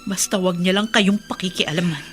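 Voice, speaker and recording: A woman's voice: on the low side, fairly even intonation, brisk, a bit bright, soft background music, natural voice. A woman playing a suffering, fiercely protective young mother in a Tagalog radio drama, emotional and earnest. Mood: determined.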